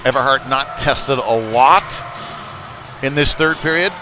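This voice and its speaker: A man calling live hockey play-by-play, mixing conversational analysis with excited surges on big plays. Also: mid-range voice, a little slow, music in the background. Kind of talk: live sports commentary. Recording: noisy rink ambience behind live commentary. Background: busy ice rink noise.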